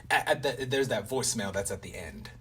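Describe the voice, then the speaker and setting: A man's voice: medium pitch, a little fast, over a noisy crowd. A man hosting a casual interview in slangy, effusive, thankful speech. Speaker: interviewer and radio play creator. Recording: distant mic, faint background noise.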